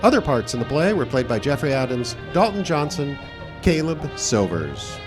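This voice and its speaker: A man's voice: medium pitch, sing-song, normal speed, music in the background. A man announcing a live radio show in scripted old-time radio style.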